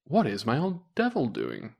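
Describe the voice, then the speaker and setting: Man acting cunning folktale soldier, bold, commanding, boastful, animated pitch. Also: moderate pace, gravelly, exaggerated voice. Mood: firm.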